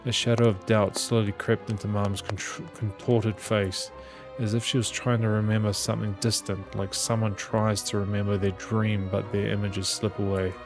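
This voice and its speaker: A man with a lowish, gravelly voice narrates a visual novel aloud. His intonation stays fairly flat, and he trips on the odd word as music plays softly behind. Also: normal speed. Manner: reads aloud with small stumbles. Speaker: gaming channel host reading the game aloud. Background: game music.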